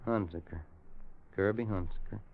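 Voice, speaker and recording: An actor playing a laconic, kindly cowboy who narrates and talks in folksy American western speech, in old-time radio style. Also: male voice, fairly low pitch, moderate pace, close to an everyday voice. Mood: reluctant, resigned. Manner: folksy, hesitant drawling cowboy talk. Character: laconic grown cowboy narrating, gentle with an orphaned boy. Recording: muffled, noisy old radio recording.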